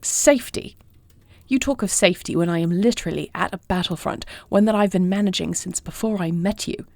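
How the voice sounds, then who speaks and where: The battlefront queen in a fantasy audio roleplay acted by a woman, formal and headstrong in a lowish register, heard up close with no room around it, as if in your headphones inside her command tent. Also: steady tone, moderate pace, gravelly, a little mellow, husky, no echo. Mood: angry, defiant. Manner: formal, regal phrasing. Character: dragon-shifter princess, newly wed, dutiful, proud, headstrong warrior. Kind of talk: scripted audio roleplay acting, one side of a dialogue.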